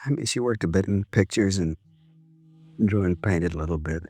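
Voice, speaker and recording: An actor playing a grieving, weary man confessing in a halting, brooding, husky voice, in 1950s radio drama style. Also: deep voice, darkish tone. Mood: mournful, confessing.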